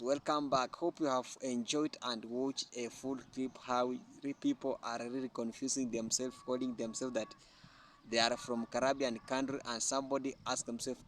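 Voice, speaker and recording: A man hosting a commentary, halting and repeating phrases while searching for words. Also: medium pitch, steady tone, a little hiss or hum. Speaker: commentary host.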